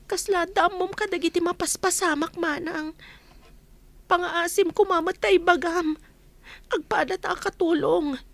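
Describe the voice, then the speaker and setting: A woman's voice: very high-pitched, steady tone, unhurried, vocal fry, exaggerated voice. A woman acting a distressed, pleading young housemaid in an Ilocano radio drama.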